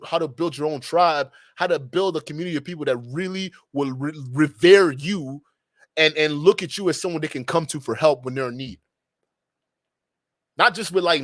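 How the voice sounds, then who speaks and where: A young man from Nigeria hosting a live stream, giving an animated, preachy monologue with slangy asides. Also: fairly high pitch, no room sound, studio quality.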